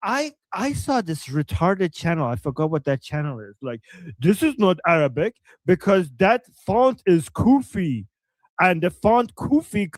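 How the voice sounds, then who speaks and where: High-voiced man ranting on a livestream. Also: big pitch swings, unhurried, dry recording. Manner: ranting, insulting, mocking. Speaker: co-host of a live stream. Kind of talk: casual livestream commentary.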